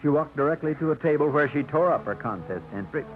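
Male narrator, measured storyteller, 1950s radio drama, thin tinny broadcast over music. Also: on the high side, expressive.

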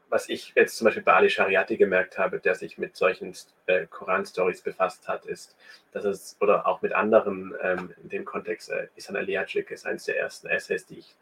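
A man's voice: sits low, breathy edge. A man being interviewed, thinking aloud and explaining his views at length with frequent restarts and fillers. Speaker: guest. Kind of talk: conversational interview.

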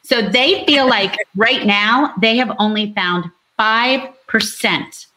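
A woman novelist talking conversationally at a book launch, explaining in an animated way with repeated emphasis. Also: fairly high pitch, slow pace, rough recording. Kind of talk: lively conversational book talk.

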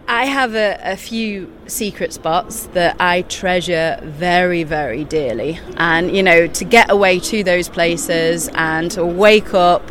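A woman talking as an interview guest at a busy exhibition show, animated and quick, often laughing mid-sentence. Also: lowish voice, slight background noise. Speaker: guest, children's author and eco-journalist. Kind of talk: casual interview chat.

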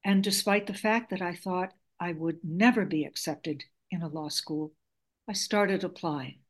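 A woman's voice: lowish voice, a little slow. A woman in her seventies whose first language is Greek, telling her life story in an interview in an articulate, reflective way. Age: 70s. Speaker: guest author.

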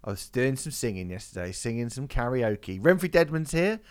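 A man's voice: mid-range voice, sing-song, normal speed, darkish tone, smooth, no echo. A man hosting a casual music podcast, rambling and swearing, his voice a bit off after karaoke. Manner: swearing, rambling, laughs often. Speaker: podcast host.

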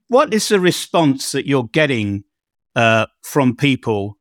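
A man's voice: mid-range voice, sing-song, slow, dry recording, studio quality. A man from England hosting a podcast interview, asking long rambling questions and repeating words as he frames them. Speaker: podcast host. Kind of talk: conversational interview.

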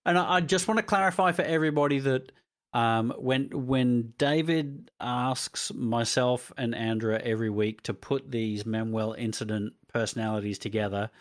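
A man's voice: mid-range voice, moderate pace, no room sound. Male co-host in a dry, close recording. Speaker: podcast co-host. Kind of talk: casual panel chat.

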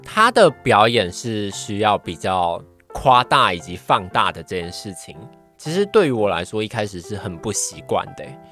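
A man's voice: on the low side, sing-song, normal speed, bright, forceful tone. Young man, Taiwanese Mandarin accent, varied intonation, modest casual guest, faint music behind. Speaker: podcast guest, trainee voice actor. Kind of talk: casual interview chat.

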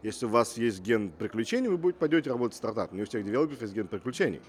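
A man's voice: mid-range voice, expressive, racing, a little hiss or hum. A man who grew up in Russia, speaking as a guest entrepreneur in an interview, assertive and fond of rhetorical questions. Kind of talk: casual interview talk.

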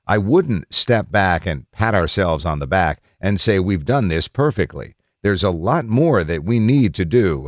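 A man with a deep voice narrates written news articles. His intonation moves around in a lively way as he reads the script. The sound is dry, with no room around it. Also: no room sound.

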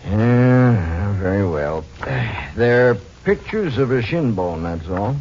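An actor playing a grudging, skeptical city medical examiner in an old-time radio drama, questioning and matter-of-fact. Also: male voice, fairly low pitch, big pitch swings, slow, a little mellow, heavily acted. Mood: reluctant, matter-of-fact. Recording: dull, muffled old radio recording.

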